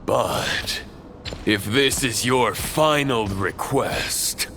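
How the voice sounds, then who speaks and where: A man's voice: medium pitch, drawn-out words, noisy background. An actor playing a proud, grave fallen knight in a video game, solemn, theatrical and condescending as he addresses his foe. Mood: menacing. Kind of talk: dramatic video game voice acting. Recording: music behind the voice. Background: dramatic game music.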